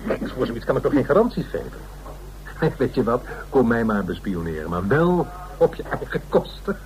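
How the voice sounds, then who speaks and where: A man's voice: mid-range voice, sing-song, normal speed, darkish tone, natural voice, low-quality recording. A man playing a flustered, easily offended legal adviser in a radio play, hesitant and stammering. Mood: indignant, amused. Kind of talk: naturalistic Dutch radio play acting. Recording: radio play recording with faint noise.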